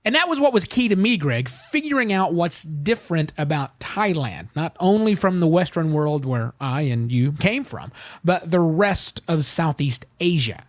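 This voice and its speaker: A man hosts a podcast in a slightly high voice, speaking to the listener directly and steering the talk with self-deprecating asides. He sounds animated. The recording is dry and close. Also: normal speed, no echo. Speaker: podcast host. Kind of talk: casual podcast interview.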